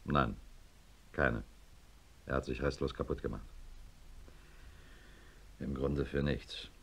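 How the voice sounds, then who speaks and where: Low-voiced, measured middle-aged professor. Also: male voice, fairly even intonation, vocal fry, fairly bright tone, slight background noise, full character voice. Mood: grim. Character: weary, authoritative middle-aged male neurosurgeon professor. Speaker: narrator and lead actor. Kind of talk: German radio drama acting.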